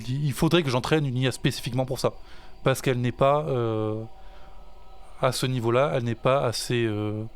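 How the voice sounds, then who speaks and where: A man's voice: mid-range voice, fairly even intonation, moderate pace, smooth. A French man, a music teacher and songwriter, chatting in a casual podcast and hesitating with many fillers and restarts.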